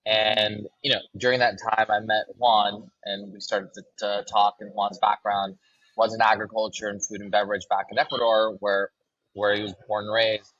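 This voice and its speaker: Husky low-voiced man, muffled dry sound. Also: normal speed, dry recording. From Los Angeles. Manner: relaxed, fills with ums and restarts. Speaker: brand co-founder. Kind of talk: casual podcast interview.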